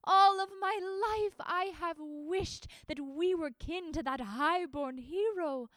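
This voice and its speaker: Shrill, theatrical mother figure, very high and emphatic; woman narrator voicing her. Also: takes it slowly, a bit bright, no room sound, exaggerated voice. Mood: overjoyed. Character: excitable mother, overjoyed then scolding.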